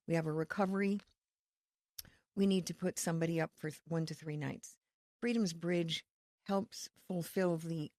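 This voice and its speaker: A woman from Connecticut, a ministry leader, explaining her work in an earnest, faith-filled, measured way as a podcast guest. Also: sits low, gravelly, a little mellow, dry recording, studio quality. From Connecticut, USA. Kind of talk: podcast interview.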